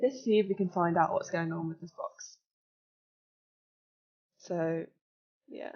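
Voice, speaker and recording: A young woman in her twenties, slightly croaky, chatting casually and giggling as she walks viewers through a hacking exercise on a live stream. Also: on the low side, slow, darkish tone, lo-fi sound. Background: faint music.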